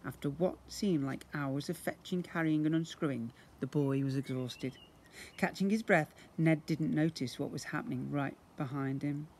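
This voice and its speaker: Expressive man reading a children's story aloud. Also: fairly high pitch, moderate pace, a little hiss or hum. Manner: expressive, acting out sound effects. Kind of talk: animated read-aloud storytelling for young children. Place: outdoors, beside brick houses. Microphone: phone or camera at about arm's length.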